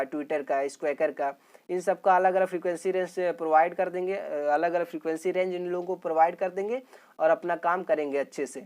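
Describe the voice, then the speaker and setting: A man's voice: high voice, darkish tone. A man giving an informal technical video lecture as a teacher, repeating points and often checking that listeners follow. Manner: repetitive explaining with frequent check-in tags.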